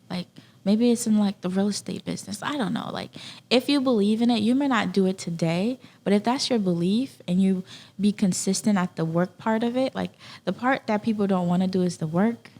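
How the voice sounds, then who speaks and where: A woman's voice: mid-range voice, vocal fry, darkish tone. A woman from Haiti speaking casually and earnestly as an interview guest, repeating key phrases for emphasis. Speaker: podcast guest.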